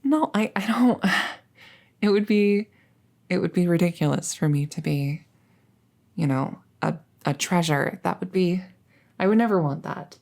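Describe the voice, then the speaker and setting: A woman playing a coy, flustered young crown princess in an intimate audio roleplay, speaking in a halting, stammering, nervous way. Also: fairly low pitch, creaky, darkish tone. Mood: flustered, reluctant. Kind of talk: intimate audio roleplay acting addressed to the listener.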